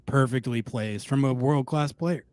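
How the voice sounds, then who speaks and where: A man hosting a call-in show, talking to callers in a relaxed, conversational way. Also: mid-range voice, measured pace, dry recording. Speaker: host.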